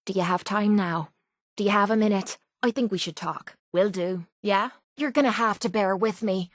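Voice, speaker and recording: Woman acting as a tough young Irish brawler, female game companion, blunt, rough-edged, guarded yet loyal, dry close recording. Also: medium pitch, moderate pace, dry recording, lightly acted. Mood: awkward, earnest. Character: tough, foul-mouthed young woman pit fighter, guarded but loyal. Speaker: voice actress in a video game. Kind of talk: video game companion dialogue.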